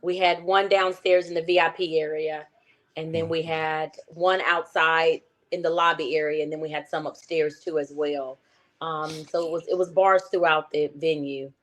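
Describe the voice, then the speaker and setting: Hoarse woman, voice nearly gone. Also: low voice, fairly even intonation, normal speed. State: hoarse, lost her voice. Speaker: event organizer guest. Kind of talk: casual livestream chat.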